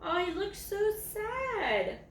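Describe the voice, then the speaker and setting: A woman's voice: extremely high pitch, sing-song, slow pace, fairly bright tone. A woman doing a picture book read-aloud for children, adding chatty, cooing asides. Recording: echoey room, distant mic, faint noise.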